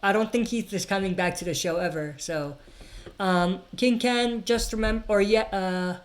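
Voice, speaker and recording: Woman, livestream host, casual and opinionated, reads chat comments and riffs on them, mid-pitched voice. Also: moderate pace. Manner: chatty, reads viewer comments aloud then answers; frequent fillers and restarts, opinionated.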